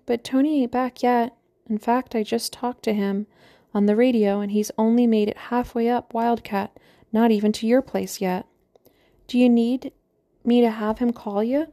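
A woman's voice: fairly high pitch, fairly even intonation, normal speed, warm tone, voice pushed up, close to an everyday voice. A woman voicing a matronly, teasing small-town postmaster with a Midwestern accent and a chatty, joking manner.

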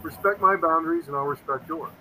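Man in his fifties, bright forceful tone, somewhat high voice, rambling and earnest, faint hum behind. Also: quick pace, low-quality recording. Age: 50s. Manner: rambling, earnest, quotes himself often. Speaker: paranormal investigator guest. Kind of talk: casual interview storytelling.

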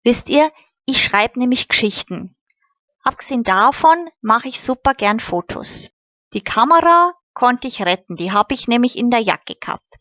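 A woman's voice: fairly high pitch, expressive, moderate pace, sharp tone, dry recording. A woman reading the part of a cheerful, grateful young girl with folksy southern German turns of phrase. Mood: eager. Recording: slightly muffled recording.

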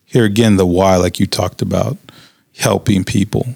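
A man's voice: sits low, fairly even intonation, normal speed, gravelly, mellow, breathy edge, no echo. A man hosting an interview with coaches, talking casually and energetically with many "uh" fillers. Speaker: podcast host interviewing coaches.

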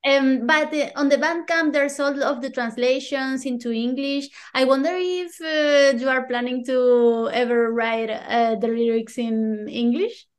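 A woman's voice: sits high, fairly even intonation, unhurried, hardly any pauses. A woman hosting a casual podcast interview, asking questions in a friendly, hesitant way with many fillers. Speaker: podcast host.

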